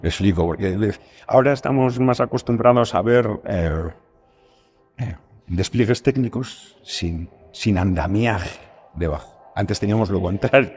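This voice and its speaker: Low voiced guy, podcast guest, casual, kinda halting, rephrases himself. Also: darkish tone, soft background music. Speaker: scriptwriter guest. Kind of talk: casual chat.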